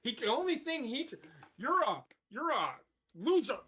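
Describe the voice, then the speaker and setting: A man's voice: very high-pitched, very animated, moderate pace, sharp tone, breathy edge. A man co-hosting a casual podcast, ranting bluntly and swearing freely about wrestling and politics. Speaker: podcast co-host. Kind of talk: casual opinionated chat.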